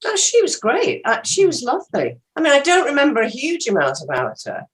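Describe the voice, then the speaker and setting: Woman with very lively, swooping intonation. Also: high-pitched, brisk. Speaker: actress, interview guest. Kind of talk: casual anecdotal chat.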